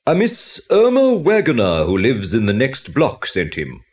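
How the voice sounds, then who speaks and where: A man's voice: medium pitch, huge pitch swings, unhurried, darkish tone. An older man performing in a 1980s audio play as a courteous, theatrical actor who speaks expansively. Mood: earnest, explaining. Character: theatrical older male actor who has lost his parrot.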